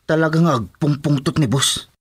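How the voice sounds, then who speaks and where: A man's voice: on the high side, moderate pace, husky. A man with an Ilocano accent acting a respectful, obedient henchman to a crime boss in a radio drama. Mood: casual. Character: deferential henchman of a crime boss, assuring loyalty.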